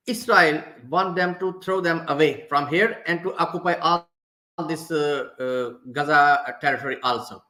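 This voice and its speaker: A Pakistani man with a high, sharp voice speaks as a panelist. His first language is Urdu, so his phrasing is halting and not quite fluent. The room around him echoes. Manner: halting, non-fluent English. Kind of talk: extemporaneous panel discussion.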